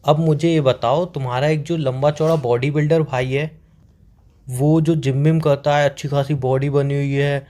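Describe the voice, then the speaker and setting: A man's voice: a little high, steady tone, moderate pace, warm tone. A young man playing a boyfriend on a staged couple phone call, joking and teasing.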